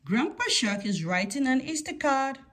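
A woman's voice: high voice, very animated, moderate pace, a bit bright. A woman reading a children's picture book aloud, breaking into sing-song refrains. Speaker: read-aloud story presenter.

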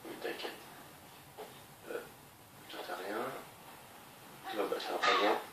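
A man with a low voice and a slight cold chats his way through a video game, thinking aloud and addressing viewers. He sits far from the mic in a roomy space, with steady noise behind him. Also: takes it slowly. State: a bit congested with a cold. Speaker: let's play gamer. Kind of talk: casual game commentary.

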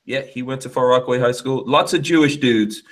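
Laughing, animated podcast host man. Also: mid-range voice, a little fast. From Guyana. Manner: animated, joking storyteller who laughs often. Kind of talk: casual chat. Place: home studio room. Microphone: headset on a video call.

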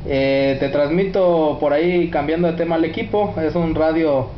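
A man's voice: on the high side, moderate pace. Mexican radio operator, man, in a roomy recording. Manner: courteous, uses radio codes and sign-offs. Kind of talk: radio contact chat, reporting signal and equipment. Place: indoors at a radio set. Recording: roomy, dull, faint noise.

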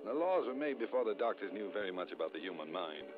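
A dismissive husband soothes his wife in a low voice with lively intonation. It is 1940s radio drama, on a muffled, noisy old recording. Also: fast talker. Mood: calm.

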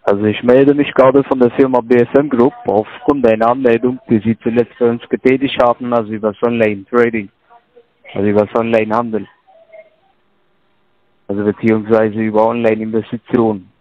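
A man cold-calling as a sales agent, loud and rambling and repeating himself, over a dull phone recording. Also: mid-range voice, little pitch movement, brisk, no echo. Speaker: scam caller. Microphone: telephone.

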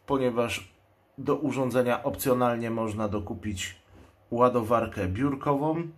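A man giving an unscripted product presentation in speech, explaining things in a matter-of-fact way. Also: lowish voice. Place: indoors at a table.

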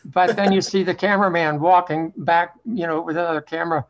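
A man's voice: a little high, steady tone, a little fast, muffled recording. A man making wry, hesitant small talk on a video call. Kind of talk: casual chat at an online talkback. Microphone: video call microphone.

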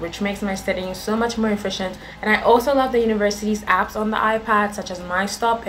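A young woman student from Ghana speaks upbeat and earnest in a medium voice. She talks straight into a desk webcam in an indoor room, with soft music faintly underneath. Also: mid-range voice, poor audio. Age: young adult. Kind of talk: scripted testimonial.

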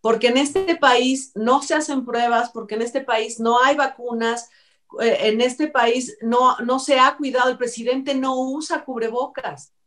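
High-voiced, insistent Mexican woman. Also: steady tone, measured pace, fairly bright tone. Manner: emphatic, insistent, argumentative. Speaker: co-host commentator. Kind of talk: opinionated discussion. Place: indoors, on a video call. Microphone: video call.

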